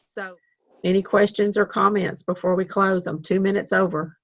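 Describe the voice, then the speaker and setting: A woman's voice: mid-range voice, fairly even intonation, moderate pace. A woman teaching an online art class over a video call, chatty and upbeat with self-deprecating asides. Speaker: art instructor.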